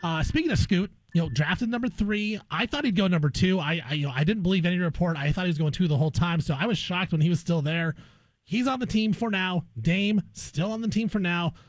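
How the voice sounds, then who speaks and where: A man's voice: high voice, brisk, dry recording. A man hosting a casual sports talk interview, speaking in an opinionated way. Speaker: sports radio guest host and interviewer.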